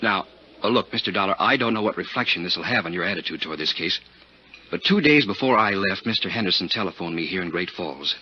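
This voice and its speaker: Fast, husky, anxious American broker. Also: male voice, sits low, expressive. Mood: earnest, confiding. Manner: earnest, anxious, confiding. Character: flustered, gossipy small-city male insurance broker. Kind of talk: 1950s radio drama acting. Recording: muffled 1950s radio recording.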